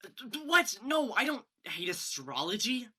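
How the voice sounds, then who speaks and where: A woman's voice: fairly high pitch, sing-song, moderate pace, sharp tone, slightly rough, full character voice. A young woman playing an anxious, earnest teenage best friend in a student stage play, flustered and stammering. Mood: defensive. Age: young adult. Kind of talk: student one-act stage acting.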